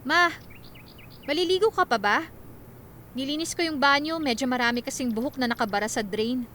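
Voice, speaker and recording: A resentful young daughter of nineteen in a radio drama. Her voice is very high and sharp, with a cold, curt, exasperated manner. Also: moderate pace, slight background noise. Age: young adult.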